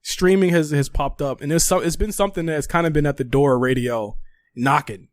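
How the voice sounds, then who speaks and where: A man hosting a casual podcast, telling stories and asking questions in an animated, slangy way with free swearing. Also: a little high, brisk, no echo. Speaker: podcast host interviewing a guest. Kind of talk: casual storytelling and interview chat.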